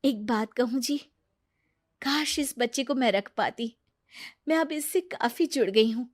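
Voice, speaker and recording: An earnest, struggling young wife and mother from a cartoon family drama as a woman plays her. Her voice sits high, with an emotional, pleading manner and a determined core. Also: measured pace.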